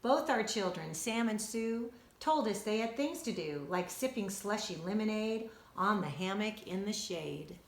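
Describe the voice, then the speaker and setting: A woman reading a rhyming children's story aloud in an expressive, rhythmic, sing-song way, like a teacher. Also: mid-range voice, unhurried, creaky, hardly any pauses. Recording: roomy, echoing indoor sound.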